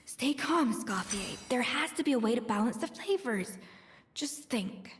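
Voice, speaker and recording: Woman voicing a calm focused game chef, self-coaching, lively pitch, faint background noise. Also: normal speed, lightly acted. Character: calm focused female chef working to balance flavors.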